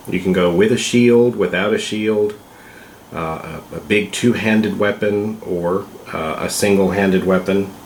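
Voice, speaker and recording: Slow, congested man in an echoey room. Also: low voice, slight background noise. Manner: slow, hesitant, with many fillers. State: congested from a cold. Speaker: vlog host. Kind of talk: casual explanatory vlog.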